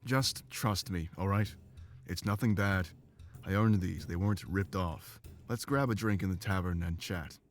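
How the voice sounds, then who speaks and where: Low-voiced, guarded, loyal adventurer. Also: male voice, unhurried, soft background music. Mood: guarded, reassuring. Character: guarded, loyal male adventurer, plain-spoken travel companion. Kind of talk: scripted visual novel dialogue.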